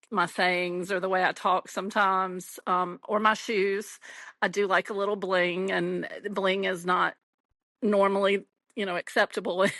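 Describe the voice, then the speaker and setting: A friendly, talkative woman with a Southern US drawl, speaking as a podcast guest. She laughs easily and keeps the conversation flowing, at a middle pitch. Her voice is close and dry, with no room sound. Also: vocal fry, fairly bright tone, no room sound. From Mississippi, US South. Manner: chatty, friendly, laughs often. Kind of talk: casual interview chat.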